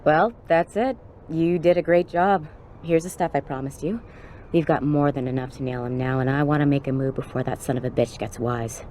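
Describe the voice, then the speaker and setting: A woman's voice: low-pitched, moderate pace, warm tone, a little hiss or hum, lightly acted. An actress playing a tough, brash female police officer in a video game, slangy and wry. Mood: determined.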